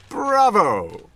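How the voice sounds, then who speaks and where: An actor in a radio drama playing a smug, pompous, sadistic husband, with a theatrical, sardonic manner. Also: male voice, sits high, a bit bright, slight background noise, full character voice. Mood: mocking delight. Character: sadistic, smug, pompous husband who bullies his wife. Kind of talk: modern radio drama acting.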